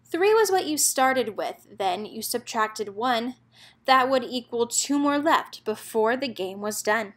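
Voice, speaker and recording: A woman's voice: a little high, lively intonation, measured pace. A woman narrator reading a children's picture book aloud, expressively and in a rhythmic lilt of rhyming verse.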